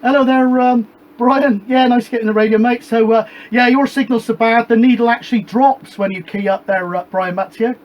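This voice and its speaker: A British man with a fairly high voice talks easily and at length on a CB net. He wanders from point to point before handing the turn back. Faint noise sits under his voice. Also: fairly even intonation, fairly quick, muffled recording. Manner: rambling and chatty, ends each turn with "back to you". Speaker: CB radio operator and show host. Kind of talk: casual radio chat on a CB net. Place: home shed radio room with a gas fire.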